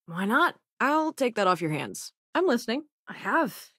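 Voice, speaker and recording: A woman's voice: on the high side, sing-song, normal speed, a bit bright, slightly rough, no room sound, studio quality, heavily acted. A woman playing a sassy, self-assured young scientist and smuggler companion in a space video game, with a wry, casual manner. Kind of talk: acted video game dialogue lines.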